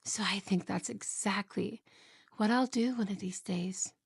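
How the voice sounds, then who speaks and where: A jealous, possessive young wife, played by a woman in an ASMR roleplay, purring her words very slowly with a gravelly, creaky edge. She slips into hushed whispers and sounds sweet, yet quietly threatening, close to a dry, dead microphone. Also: mid-range voice, no echo, full character voice. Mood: sweetly possessive. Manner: soft, slow, whispery, with giggles.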